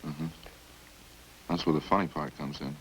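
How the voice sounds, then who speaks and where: An actor in a 1950s radio crime drama playing a chatty killer who confesses in a casual, folksy, matter-of-fact way. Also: male voice, extremely low pitch, steady tone, moderate pace, rough recording. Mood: uneasy, explaining. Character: chatty, hungry male killer calmly confessing over supper. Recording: hissy old-time radio recording.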